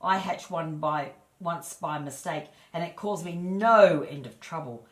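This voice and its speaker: A woman's voice: low-pitched, a little slow, reverberant. A woman voicing a haughty, meddling old female duck, blunt and disapproving, for a storybook. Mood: bossy advice.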